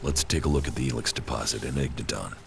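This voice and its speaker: An actor playing a grim, emotionally detached former commander in a video game, speaking in a terse deadpan with a gravelly voice. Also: male voice, a bass voice, quick pace, background noise. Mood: guarded, questioning. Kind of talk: video game cutscene acting. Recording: echoey reverb, music behind.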